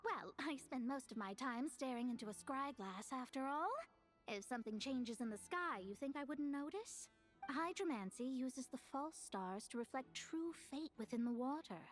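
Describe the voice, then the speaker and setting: A woman's voice: high voice, expressive, moderate pace, faint music behind. A woman voicing a sly, mercenary young fortune-teller in a video game, haughty, teasing and businesslike. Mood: smug, breezy. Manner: teasing, self-assured, businesslike. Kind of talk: video game character acting.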